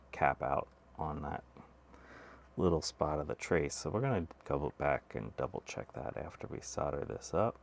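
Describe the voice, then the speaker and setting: A man with a very low, gravelly voice talks his way through a repair job in a relaxed, chatty, self-deprecating way. He sounds a little hoarse and keeps clearing his throat. A faint hiss of noise sits under the recording. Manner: chatty, self-deprecating, thinking aloud while soldering. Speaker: electronics repair host. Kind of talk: casual workbench tutorial.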